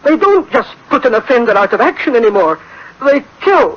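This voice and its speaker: An actor playing a worried, guilt-ridden scientist in a science fiction radio drama, speaking in tense, clipped phrases. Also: male voice, very high voice, very animated, fairly quick, a bit bright, full character voice. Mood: desperate. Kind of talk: dramatic sci-fi radio acting. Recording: dull, faintly noisy old radio recording.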